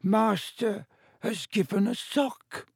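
A man voicing an excitable, servile male house-elf with a shrill, squeaky voice and a halting, earnest manner. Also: creaky, husky, no room sound, studio quality, exaggerated voice. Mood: awed.

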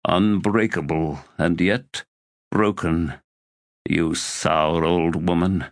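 A man's voice: low voice, steady tone, slow pace, no room sound, clean studio sound. A man voicing Torrent, a weary and defiant young male warrior, in an audiobook reading.

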